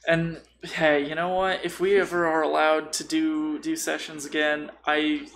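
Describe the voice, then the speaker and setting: Gravelly, slangy man chatting over noise. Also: a little high, flat delivery, measured pace, a bit bright. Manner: slangy, sweary, jokey. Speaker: podcast co-host. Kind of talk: casual chat. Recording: noisy room recording. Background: clear background noise.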